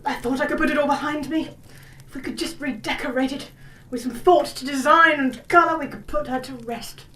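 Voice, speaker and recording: Woman acting high-voiced, melodramatic posh lady storyteller. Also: fairly even intonation, fairly quick, pushed and bright, distant mic. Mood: grave narration. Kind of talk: theatrical audio drama acting.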